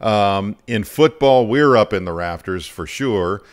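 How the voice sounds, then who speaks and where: A man in his fifties with a fairly low voice hosts a sports podcast. He talks conversationally, like one broadcaster chatting with another, close on a studio microphone. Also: animated, measured pace. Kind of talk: conversational interview. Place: home studio in a furnished den. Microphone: close broadcast mic on a boom arm.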